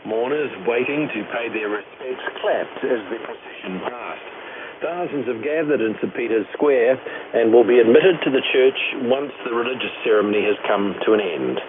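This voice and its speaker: A man's voice: medium pitch, moderate pace. A man with a New Zealand accent reading a scripted radio news bulletin as presenter. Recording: noisy narrowband shortwave radio broadcast.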